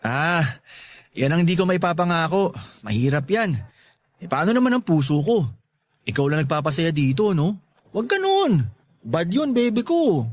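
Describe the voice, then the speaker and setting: Cheeky, swooping high-voiced young loafer played by a man. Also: very animated, normal speed, long pauses. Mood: wheedling. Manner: teasing, wheedling, boastful. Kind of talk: Tagalog radio drama acting.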